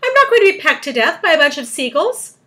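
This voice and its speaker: A woman's voice: high-pitched, big pitch swings, fast talker, bright, forceful tone, voice pushed up, full character voice. A woman voicing a gloomy, panicky male earthworm in a storybook, wailing and protesting indignantly. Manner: wailing, protesting, stammering in alarm.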